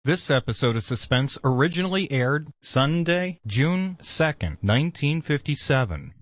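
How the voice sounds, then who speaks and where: A man's voice: fairly high pitch, big pitch swings, moderate pace, dry recording. A man reading a scripted station announcement for broadcast.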